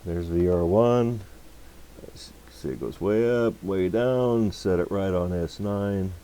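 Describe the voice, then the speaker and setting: A man giving a casual hands-on workbench demonstration, unhurried and half muttering to himself as he works. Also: sits low, warm tone, clean tone, lo-fi sound. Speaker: electronics repair hobbyist, channel host. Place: indoor electronics workbench.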